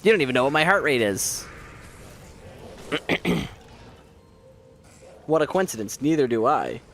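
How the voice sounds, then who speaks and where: A man streaming a game who chats casually and jokes at his own expense with viewers, hoarse from losing his voice. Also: a little high, very animated, a little slow, fairly bright tone, soft background music. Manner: rambling, self-mocking, joking with viewers. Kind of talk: casual chat while playing.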